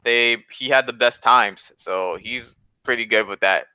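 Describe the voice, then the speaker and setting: A young man with a bright, crisp voice banters casually, full of slang and ready to argue his point. He sounds boastful, and the recording is close and dry but a bit dull and lo-fi. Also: medium pitch, unhurried, no echo.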